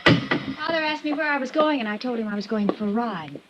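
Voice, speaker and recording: A woman's voice: fairly high pitch, a little fast, background noise, natural voice. Actress playing a pouting rich girl. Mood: teasing. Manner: coy and teasing, turning defensive. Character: spoiled rich young woman, pouting, evasive. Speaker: rich horse owner's daughter. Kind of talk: 1950s radio drama acting. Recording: dull dry vintage radio recording.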